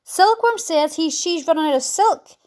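A woman voicing a bossy, impatient male centipede from a storybook, loud and frantic. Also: very high voice, expressive, moderate pace, pitched above the performer's own voice. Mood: urgent yelling.

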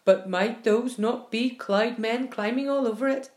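A woman's voice: sits high, very animated, unhurried, roomy sound. A woman voicing a know-it-all male centipede in a storybook, speaking curtly and emphatically. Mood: dry unease.

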